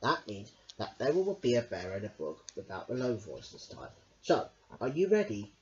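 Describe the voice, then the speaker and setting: A woman's voice: extremely low pitch, very animated, normal speed, a little mellow, dull audio. A woman hosting a children's storytime, reading expressively and addressing young listeners directly. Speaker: storyteller and host.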